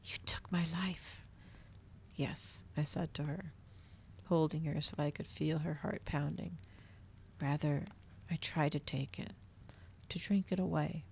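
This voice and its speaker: A woman reading a novel aloud in a hushed ASMR style, slipping into whispers, close to the microphone. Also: low-pitched, fairly even intonation, a little slow, vocal fry, pauses often, a little hiss or hum.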